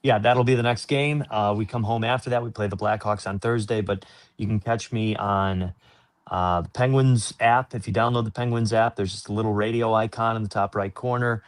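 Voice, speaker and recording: A man's voice: fairly low pitch, fairly even intonation, moderate pace. A man working as a sports announcer, answering interview questions in an earnest, informal, explanatory way.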